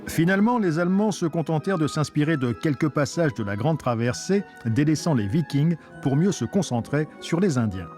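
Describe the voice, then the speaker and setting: A man's voice: fairly high pitch, lively intonation, fairly quick, darkish tone. A man reading scripted documentary narration as the narrator. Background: music.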